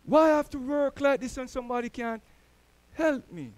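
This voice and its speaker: High-voiced Jamaican preacher. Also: male voice, lively intonation, moderate pace, no echo. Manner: storytelling preacher, swells louder on key words. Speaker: church elder. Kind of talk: sermon. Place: church.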